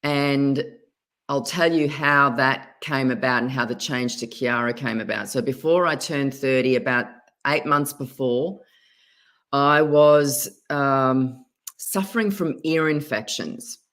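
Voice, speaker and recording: A woman with an Australian accent, a guest telling her story in an interview, often pausing and restarting her words. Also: a bass voice, a bit bright. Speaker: guest, spiritual advisor.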